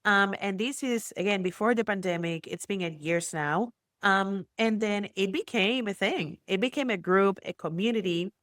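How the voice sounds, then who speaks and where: Puerto Rican woman, entrepreneur guest, chatty and animated, mid pitch, dry close sound. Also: moderate pace, dry recording. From Puerto Rico. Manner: chatty, animated storytelling with many fillers. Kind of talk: casual interview chat.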